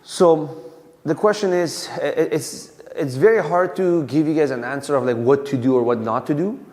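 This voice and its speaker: A man's voice: a little high, normal speed, a little mellow. A man in his thirties from Afghanistan, a police officer giving an informal community talk in a conversational way with many fillers and restarts. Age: 30s. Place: community hall. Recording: long hall reverb.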